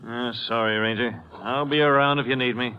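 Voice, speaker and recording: An actor playing a big, irritated used-car dealer with an American accent, defensive and folksy, in a 1950s radio drama. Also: male voice, medium pitch, fairly even intonation, fairly quick, bright, forceful tone, close to an everyday voice, low-quality recording. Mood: grudging. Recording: vintage 1950s radio broadcast recording.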